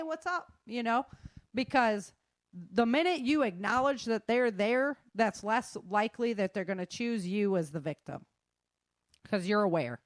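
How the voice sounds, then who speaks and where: A woman's voice: on the high side, no echo, clean studio sound. A middle-aged woman talking casually and frankly, giving emphatic, informal advice as an instructor. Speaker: self-defense instructor and guest.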